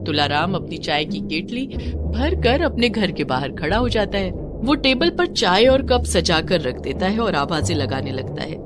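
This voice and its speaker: A woman's voice: on the low side, big pitch swings, normal speed, over music. A woman narrating a scripted children's story.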